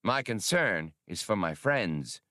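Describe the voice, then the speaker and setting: A man's voice: fairly low pitch, very animated, takes it slowly, pushed and bright, dry recording, studio quality, exaggerated voice. A man voicing an indignant, dignified alien in an audiobook, with a pompous, legalistic manner. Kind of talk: audiobook character acting.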